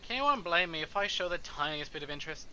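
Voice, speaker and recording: A man reading a visual novel aloud on a stream, narrating a character's thoughts in an expressive, theatrical way. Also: fairly high pitch, quick pace, low-quality recording. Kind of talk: dramatic narration of a character's inner monologue. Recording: faint background noise.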